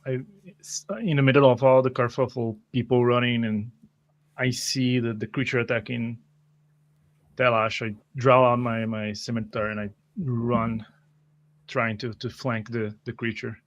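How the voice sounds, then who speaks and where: A man playing a tabletop roleplay game, describing his actions in a halting, stammering way. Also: medium pitch, little pitch movement, highs cut off.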